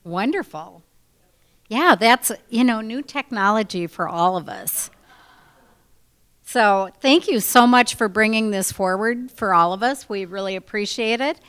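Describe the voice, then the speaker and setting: A woman hosting a club meeting, warmly thanking the guest speaker. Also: mid-range voice, expressive, measured pace, smooth. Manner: gracious, appreciative.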